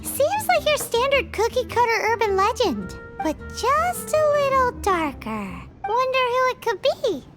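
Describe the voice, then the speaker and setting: A woman voicing a small, childlike, excitable game companion with a squeaky, chirpy voice. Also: unhurried, hardly any pauses, exaggerated voice. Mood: curious. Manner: refers to self in third person, exclaims eagerly. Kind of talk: video game dialogue. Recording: music behind the voice.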